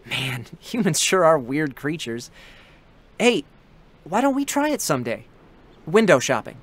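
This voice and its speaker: Boyish, higher-pitched young android soldier. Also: male voice, sing-song, unhurried. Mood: curious, playful. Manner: chatty, sentimental, with boyish hesitations. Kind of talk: dramatic scripted reading with first-person narration.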